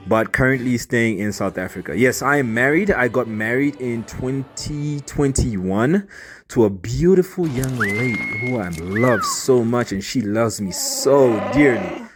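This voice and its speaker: A man's voice: mid-range voice, a little slow, over music. Earnest young man telling his story. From Zambia. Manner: earnest, open, animated and emphatic. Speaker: storyteller and animator. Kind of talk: casual personal storytelling.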